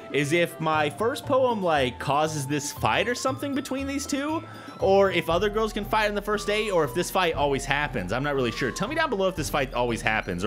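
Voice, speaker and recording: A man's voice: a little high, fairly bright tone. A young man hosting a gaming video, rambling informally and reading aloud as he gives casual let's play commentary. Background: game music.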